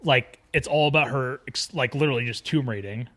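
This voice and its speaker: A man takes part in a casual film podcast chat. His voice sits at an average pitch for a man, and he speaks conversationally as a co-host. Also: a little fast, a bit bright.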